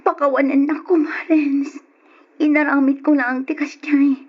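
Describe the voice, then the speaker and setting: A woman's voice: high voice, level tone, unhurried. A woman playing a lovesick, possessive young woman in an old-style Ilocano radio drama, pleading melodramatically with an Ilocano accent. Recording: muffled, noisy radio drama recording.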